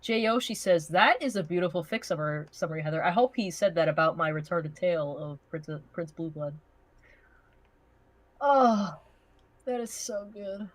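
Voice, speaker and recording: A woman chatting casually and profanely on a livestream, joking in an animated way and reading out comments. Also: fairly low pitch, normal speed, no echo. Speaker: livestream co-host.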